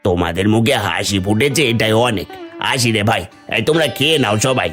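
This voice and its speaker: A man's voice: fairly low pitch, animated, fairly quick, a bit bright, faint music behind. Man acting a plain-spoken cartoon village uncle. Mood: kindly. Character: village uncle, blunt but kind-hearted. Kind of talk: Bengali cartoon folk-tale acting.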